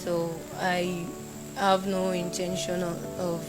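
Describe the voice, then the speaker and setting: A woman's voice: fairly low pitch, slow, over loud music, rough recording. Young Nigerian woman in an interview. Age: young adult. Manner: animated, acts out shouted quotes. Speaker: interviewee, university student. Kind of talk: casual interview.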